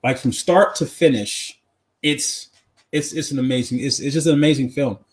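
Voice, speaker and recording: A man's voice: mid-range voice, moderate pace. A man co-hosting a podcast in casual, slangy conversation, often repeating phrases for emphasis. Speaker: podcast co-host. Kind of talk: casual movie chat.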